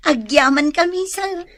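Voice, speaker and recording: High-pitched, fiery Filipina wife. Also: female voice, lively intonation, slow pace, fairly bright tone, no room sound, studio quality, heavily acted. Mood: flustered, obliging. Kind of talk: Filipino radio drama acting.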